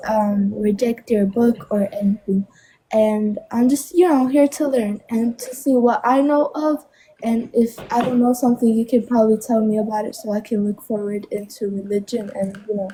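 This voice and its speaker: A woman's voice: on the high side, fairly even intonation, normal speed, mellow, a little hiss or hum, poor audio. A seventeen-year-old girl who is sick, joining a debate as a guest and answering hesitantly with many fillers. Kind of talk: casual debate conversation.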